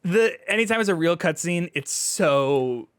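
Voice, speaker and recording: A man's voice: high-pitched, lively intonation, unhurried, clear, resonant tone, no echo, studio quality. A man co-hosting a podcast, chatting casually about video games in an informal, hedging way peppered with "like". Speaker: podcast co-host.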